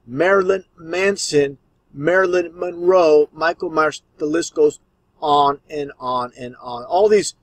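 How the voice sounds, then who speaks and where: A man's voice: a little high, slow pace, dry recording. A man giving conspiracy commentary over video clips as the host, explaining in an insistent, repetitive way.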